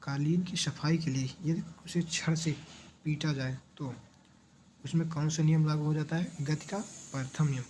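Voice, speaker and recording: A man's voice: on the high side, steady tone, normal speed, darkish tone, a little hiss or hum. A man teaching an exam revision lesson in question-and-answer form, didactic, asking questions and repeating the answers.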